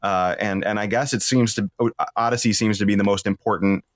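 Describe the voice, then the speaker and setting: Halting, low-voiced man in thoughtful discussion. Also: fairly even intonation, no room sound, clean studio sound. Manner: hesitant, halting, thoughtful explainer. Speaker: podcast guest, playwright. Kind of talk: casual discussion of drama and storytelling.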